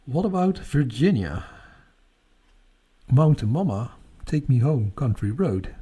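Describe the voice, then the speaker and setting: A man's voice: medium pitch, takes it slowly, slightly rough. A man with a Dutch accent hosting a live stargazing stream, chatting casually and answering viewers' comments with frequent laughs. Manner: relaxed, chatty, laughs often. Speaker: astronomy livestream host.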